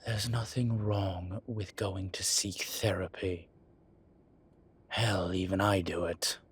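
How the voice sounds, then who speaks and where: A man playing an ancient demon professor who is gruff but contrite and caring, speaking intimately to one listener in a halting, measured, husky voice. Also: steady tone, heavily acted. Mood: gentle concern. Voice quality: husky, breathy low voice. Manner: slow, halting, measured delivery with sighs.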